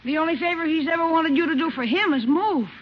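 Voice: very high voice, fast talker. An actor playing a cheeky, wisecracking young boy with blunt, slangy talk in a 1950s radio comedy. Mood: skeptical. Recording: muffled, noisy vintage radio recording.

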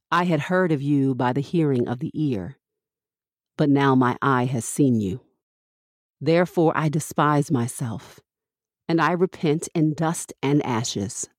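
A woman reading scripture from a script as a podcast host, with measured, deliberate phrasing. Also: deep voice, dry recording, studio quality.

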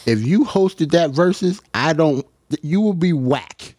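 A man in casual podcast banter, slangy and animated, stretching out words for emphasis. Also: a little high, a little slow, dry recording.

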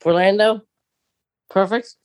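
A podcast co-host in a casual fan discussion, speaking in an animated, exclaiming way. The voice sits in a middle pitch and is recorded dry, with hardly any room sound. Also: slow, smooth, dry recording.

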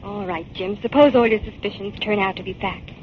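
A woman acting a detective's keen sidekick in an old radio mystery. She is inquisitive and plucky, with a medium-pitched voice. The recording is dull, with some background hiss. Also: brisk, close to an everyday voice. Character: eager, curious female partner of a detective. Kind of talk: 1940s radio drama acting. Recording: muffled, noisy 1940s radio recording.

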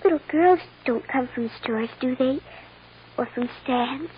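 A woman playing a hurt, bewildered little American girl with halting, plaintive speech in an old-time radio drama. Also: sits high, slightly rough, exaggerated voice. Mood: earnest, trusting. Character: hurt, bewildered little girl seeking her mother's love. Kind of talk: 1940s-50s American radio drama acting. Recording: dull, noisy old radio recording.

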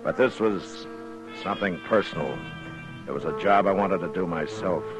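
An American actor playing a steady, determined Old West lawman in a 1950s radio western, terse and plainspoken. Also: sits low, moderate pace, music in the background, natural voice. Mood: grim, resolved. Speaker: narrator and lead actor. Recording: noisy vintage radio recording.

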